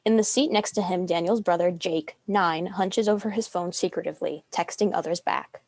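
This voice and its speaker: A woman reads stage directions as scripted narration. Her voice is on the low side for a female. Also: moderate pace, darkish tone.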